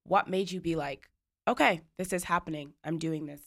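A woman hosting a casual podcast interview, upbeat and enthusiastic with quick reactions. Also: low voice, a bit bright. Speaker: podcast host.

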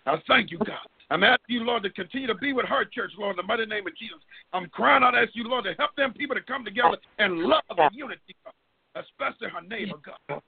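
A man's voice: high-pitched, lively intonation, fast, gravelly, breathy edge. A middle-aged man prays aloud fervently and off the cuff, pleading and repeatedly calling on God. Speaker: person leading group prayer. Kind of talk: fervent extemporaneous prayer. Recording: tinny, phone-line-like audio.

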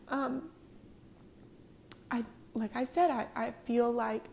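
A woman's voice: sits high, creaky, a little mellow, slight background noise. A woman in her thirties hosting her own podcast, talking in an earnest, confessional way with many ums. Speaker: podcast host. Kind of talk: casual personal talk.

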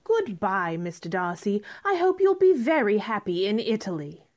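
A woman playing a spirited, outspoken young gentlewoman in a radio drama, with a pointed, forthright manner. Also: mid-range voice, sing-song, normal speed, lightly acted. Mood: indignant.

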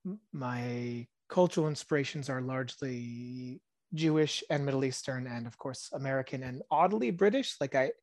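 A man in his thirties who grew up speaking Hebrew sits in as a podcast guest, thinking aloud in a soft, musing way. His voice sits at an ordinary middle pitch and drops into quiet asides. The recording is close and dry, with no room sound around him. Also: moderate pace, no room sound. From Israel. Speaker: podcast guest, tabletop game designer. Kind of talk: casual interview chat.

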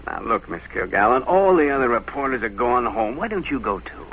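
A tough, tired American cop talks with lively, emphatic swings of pitch. He sounds exasperated, in old radio drama style. The vintage recording has faint noise. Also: fairly quick, rough recording. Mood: insistent. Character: weary, exasperated, tough male police lieutenant.

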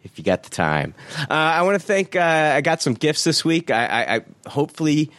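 A man's voice: fairly high pitch, big pitch swings, normal speed, a bit bright, no room sound. A man hosting a podcast, casually reading out listener reviews, laughing often and swearing offhandedly.

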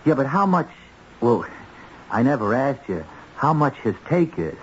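An actor playing a naive, uneasy small-time crook in an old-time radio drama, halting, slangy and full of questions. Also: male voice, mid-range voice, big pitch swings. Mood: uneasy, curious. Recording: dull, noisy old radio recording.

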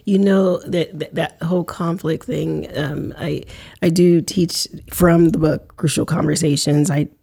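A woman's voice: low voice, steady tone, unhurried, vocal fry, dark tone, slightly rough. A woman hosting an interview in a casual chat style, talking in reflective, rambling sentences full of restarts. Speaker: podcast host.

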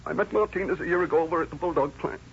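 An actor playing a scared American crook, insistent and rough-spoken, in a 1930s radio crime drama style. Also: male voice, a little high, racing, natural voice. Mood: defensive, nervous. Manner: insistent, pleading, rough uneducated speech. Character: scared young male crook giving a defensive confession. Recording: noisy, muffled old-time radio recording.

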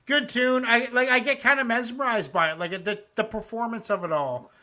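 A man's voice: very high-pitched, brisk, pushed and bright. A man hosting a live music reaction show, chatting loosely with plenty of swearing and reading his own comic poems aloud. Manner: loose and profane, with frequent fillers. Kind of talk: casual live-stream chat.

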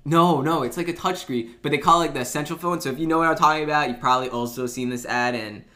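A man's voice: fairly high pitch, fast, pushed and bright. A young man in his twenties co-hosting a podcast, chatting casually and rambling with lots of restarts and fillers. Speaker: podcast co-host. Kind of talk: casual sibling chat.

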